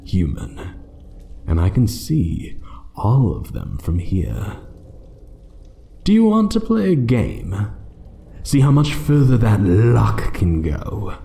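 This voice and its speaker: Ancient male dragon, acted by a man for fantasy ASMR: low, dark voice, condescending menace, toys with his prey. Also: heavily acted. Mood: taunting. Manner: slow, taunting, condescending, dropping into whispers. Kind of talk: one-sided ASMR roleplay acting.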